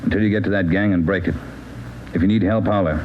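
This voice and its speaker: A man playing a gruff, demanding American police chief in a 1940s radio drama, curt and commanding. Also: low-pitched, fairly even intonation, quick pace, lo-fi sound, slight background noise, natural voice. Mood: stern, urging. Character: gruff, demanding male police chief pressing his men. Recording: band-limited 1940s radio recording, faint music.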